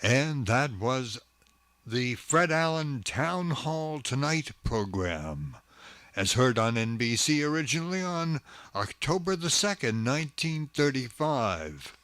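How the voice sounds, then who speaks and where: A man presenting an old-time radio programme in a measured, scripted announcing style. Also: mid-range voice, sing-song, fairly bright tone, no echo.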